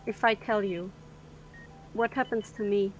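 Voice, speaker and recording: A woman's voice: mid-range voice, fairly even intonation, a little slow, close to an everyday voice, low-quality recording. A woman playing a frank, rueful cargo captain in an audio drama, talking in a matter-of-fact way.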